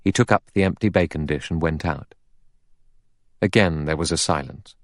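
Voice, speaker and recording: Low voiced guy narrating a novel, dry sound with no room on it. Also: normal speed, no echo. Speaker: audiobook narrator.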